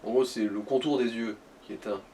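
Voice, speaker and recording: A man's voice: medium pitch, a little fast, warm tone, a little hiss or hum. A man in casual gaming chat, co-commentating on a let's play.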